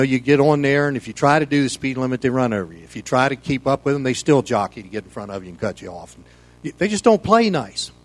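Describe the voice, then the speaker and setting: Male pastor, American, mature. Informal, conversational preaching, folksy and meandering, medium pitch. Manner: folksy, rambling, peppered with "you know". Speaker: pastor leading a Bible study. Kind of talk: informal sermon, conversational teaching.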